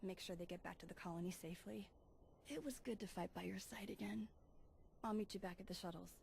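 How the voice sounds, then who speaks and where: A woman's voice: mid-range voice, creaky, darkish tone, slight background noise, natural voice. Woman playing a confident young Jedi heroine. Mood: upbeat, warm. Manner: assured, brisk. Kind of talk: video game cutscene acting.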